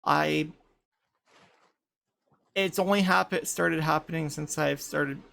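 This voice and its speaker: A woman in her thirties in casual group chat, light voice without chest resonance, talking haltingly with many restarts. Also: low voice, steady tone, creaky, a bit bright. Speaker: podcast panelist.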